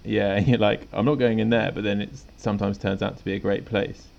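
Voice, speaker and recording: A man's voice: fairly low pitch, flat delivery, a little fast, gravelly, a little mellow, dull audio. A man co-hosting a podcast in a laid-back, rambling casual chat, often chuckling. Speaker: podcast co-host.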